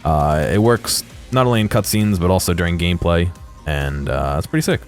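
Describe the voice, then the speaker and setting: Deep voiced guy doing chill video game commentary, kinda halting, bit of music in the back. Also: expressive. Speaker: host of a game exploration video. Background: music behind the voice.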